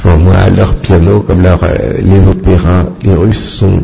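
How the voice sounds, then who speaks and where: A man speaking off the cuff in a halting, opinionated way as a doctor answering questions at a public talk. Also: deep voice, level tone, vocal fry, warm tone, faint music behind. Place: public meeting with microphone. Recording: muffled, noisy public-event recording.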